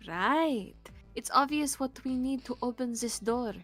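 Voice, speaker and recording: A sharp-tongued, irritable woman from a visual novel, read by a female streamer. Her fairly high voice is scornful and impatient, leaning hard on words, with music playing underneath. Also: unhurried. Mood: irritated, scornful.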